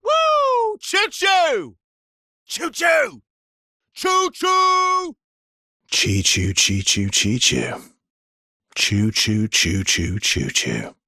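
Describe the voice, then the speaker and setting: A man playing a weary, guarded video game expeditioner, with a husky, breathy voice and an understated, measured, brooding delivery. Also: extremely high pitch, huge pitch swings, bright, forceful tone, dry recording, clean studio sound, full character voice. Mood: playful. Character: Verso, weary guarded male expeditioner with quiet regret. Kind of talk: video game voice lines, dramatic acting.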